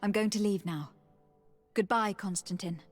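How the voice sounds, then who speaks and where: An actress playing a caring young noblewoman and envoy in a video game, speaking in an earnest, measured, formal way. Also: mid-range voice, faint music behind, lightly acted. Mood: matter-of-fact. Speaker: voice actor. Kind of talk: video game cutscene dialogue.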